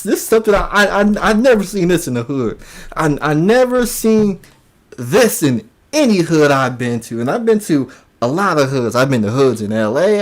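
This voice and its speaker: Mocking man with sweeping, animated pitch. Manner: mocking, repeats phrases for emphasis. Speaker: commentary host. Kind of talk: reaction commentary.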